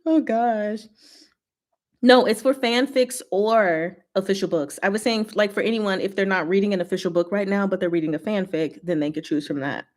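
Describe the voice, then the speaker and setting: A woman's voice: medium pitch, moderate pace, a little mellow. A woman hosting a livestream, chatting informally and giggly as she reads and answers viewer comments. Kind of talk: casual livestream chat, reading comments aloud.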